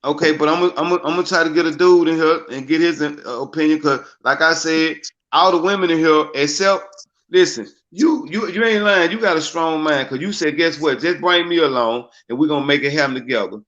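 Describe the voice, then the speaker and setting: Casual stream host: a man who restarts and repeats himself, slangy, higher pitch, muffled lo-fi sound. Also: brisk, a bit bright. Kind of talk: casual live-stream chat.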